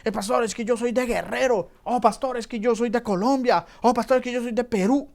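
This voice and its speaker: A man's voice: very high voice, creaky, a little mellow, voice pushed up. A man doing a stammering, excuse-making churchgoer. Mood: pleading. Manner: stammering, rushed and whiny.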